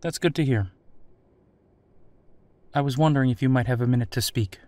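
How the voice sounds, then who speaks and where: A man playing a calm, courteous military captain conducting an interview in an audio drama, conversational and slightly hesitant. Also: medium pitch, moderate pace, noisy background, natural voice. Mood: polite, measured.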